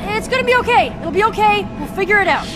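High, lively young adventurer heroine. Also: female voice, quick pace, a crowd in the background. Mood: nervous, reassuring. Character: young female adventurer, tough but caring friend. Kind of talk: animated video game voice acting. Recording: loud music behind the dialogue.

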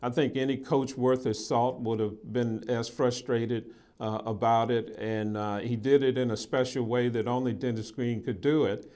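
Halting man recalling events, medium pitch. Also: steady tone, warm tone. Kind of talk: interview reminiscence.

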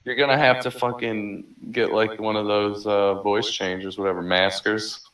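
Male gamer, low register, casual group banter; distant mic, heavy background noise. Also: little pitch movement, normal speed, a bit bright, echoey room. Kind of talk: casual banter while gaming. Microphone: gaming voice chat.

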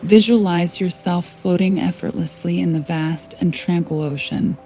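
Soothing, very mellow female meditation guide. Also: fairly low pitch. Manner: soothing, slow, unhurried with long pauses. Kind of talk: guided sleep meditation, scripted narration. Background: soft calming background music.

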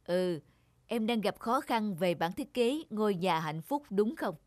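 A woman voicing a kindly, wise older male teacher in a cartoon, measured and reassuring. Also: medium pitch, lively intonation, darkish tone, no echo, clean studio sound, close to an everyday voice.

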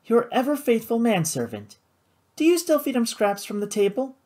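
A woman's voice: medium pitch, very animated, moderate pace, darkish tone, husky, voice pushed up. A woman voicing a playful, defiant young socialite sister in theatrical audiobook dialogue. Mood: scornful. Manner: teasing, theatrical.

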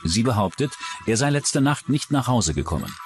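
A man's voice: mid-range voice, big pitch swings, normal speed, music in the background. A man reading scripted true-crime documentary narration as the narrator.

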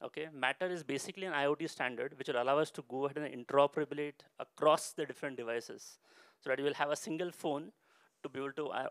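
A man's voice: medium pitch, a bit bright, dry recording. A middle-aged man giving a semi-formal, conversational product presentation at a conference, explaining things with frequent filler phrases, on a handheld mic. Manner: explanatory, with frequent "you know" and "go ahead and" phrasing. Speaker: company director presenting a product launch. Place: stage at a large indoor expo conference. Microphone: handheld microphone.

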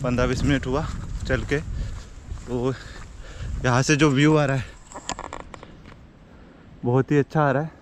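Young man vlogging on a motorbike. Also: medium pitch. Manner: chatty, upbeat, showing the views. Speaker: motovlogger. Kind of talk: casual travel vlog narration. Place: outdoors on hills and riding a motorbike in rain. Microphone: action camera, handheld at arm's length while trekking. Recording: dull lo-fi action camera audio with wind and traffic noise.

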